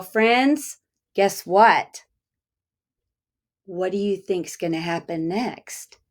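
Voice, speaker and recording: Slow, highly animated woman reading aloud. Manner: deliberate, child-directed, pausing to ask questions. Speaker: kindergarten teacher reading aloud to children. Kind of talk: interactive picture-book read-aloud with questions.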